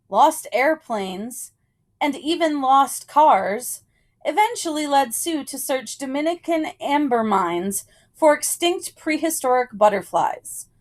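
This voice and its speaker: A woman reading a children's picture book aloud in a storytelling style, with measured phrasing and deliberate pauses. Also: high-pitched.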